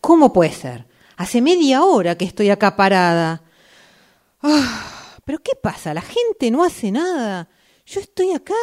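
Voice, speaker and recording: A woman's voice: on the high side, sing-song, measured pace, darkish tone. A woman with a Rioplatense Argentine accent acting an impatient, exasperated commuter in a radio drama monologue, exclaiming and repeating herself. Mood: restless, musing. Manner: exclamatory, indignant, swearing, repeating phrases. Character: exasperated impatient woman waiting for a late train.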